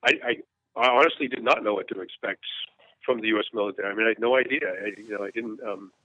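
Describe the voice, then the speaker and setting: A man's voice: lowish voice, bright, forceful tone, no room sound. Earnest man in his fifties on a tinny line. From United States. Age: 50s. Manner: earnest, frank, halting with restarts. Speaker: war journalist guest. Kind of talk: candid interview storytelling. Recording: tinny phone-line sound, dry.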